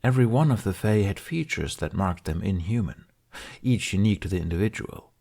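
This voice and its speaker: A man reading a fantasy story aloud as narrator, in an unhurried, measured way. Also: lowish voice, lively intonation, creaky, mellow, slightly rough. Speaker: fan fiction audiobook reader.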